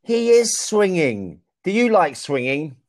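Young guy on a video call w/ earphone mic, talks real slow to a kid, voice bounces all over. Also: high voice. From England. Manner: slow, clear and lively, often exclaims and laughs. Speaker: online English teacher. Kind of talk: slow, encouraging chat with a young child. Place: small room indoors. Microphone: video call with wired earphone mic.

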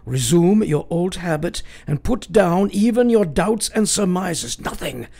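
A man's voice: sits high, expressive, measured pace, gravelly, dark tone, full character voice. A man playing an eccentric, commanding professor in a radio play, speaking in an earnest, formal and emphatic way. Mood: earnest counsel. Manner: emphatic, formal, oddly phrased, counselling.

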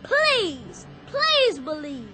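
A woman's voice: very high voice, huge pitch swings, drawn-out words, exaggerated voice. A woman playing an earnest, pleading young boy in a radio drama, with simple childlike speech. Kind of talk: dramatic radio play acting.

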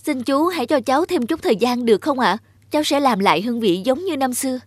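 An actress playing an earnest, envious young woman who runs a noodle shop in an animated drama, speaking politely and eagerly. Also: high voice, fast, noisy background. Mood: pleading. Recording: loud music under the voice.

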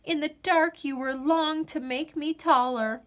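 A woman's voice: high voice, fairly even intonation, a little slow, fairly bright tone, voice pushed up. A woman voicing a brave, emotional young girl from a storybook, earnest and theatrical. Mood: tender, earnest.

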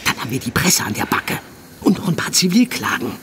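An actor playing a police handler in a naturalistic audio drama, reassuring yet insistent, often dropping into hushed tones. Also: male voice, on the high side, very animated, fairly quick. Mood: tense. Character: male police handler calming and pressuring his undercover agent. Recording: noisy outdoor ambience with vehicle sounds.

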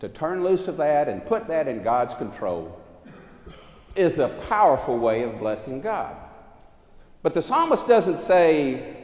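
Animated older preacher in an echoey church. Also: male voice, medium pitch. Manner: animated preaching, arms raised, pacing the chancel. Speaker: pastor. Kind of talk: sermon. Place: large church sanctuary.

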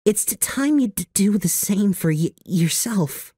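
A woman voicing a gentle, devoted, nerdy young man with a heavy stutter in a comic dub. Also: mid-range voice, animated, a little slow, breathy edge, no room sound, studio quality. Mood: tender, pleading. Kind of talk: amateur comic dub acting.

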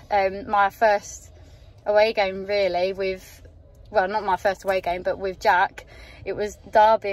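A young woman talks into a phone held at arm's length inside a car at night. Her voice wavers with tears as she gushes with emotion, caught by the small phone mic. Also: mid-range voice. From England, outside Yorkshire. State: teary. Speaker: football fan. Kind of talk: emotional vlog to camera. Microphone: phone camera held at arm's length.

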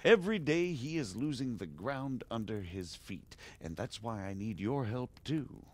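A shrewd middle-aged margrave in a game cutscene, smooth and persuasive. His pitch moves a lot, rising sharply when he is pressed. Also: expressive, measured pace, a little mellow, no echo. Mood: scheming. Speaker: margrave, scheming noble. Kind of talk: video game cutscene dialogue.

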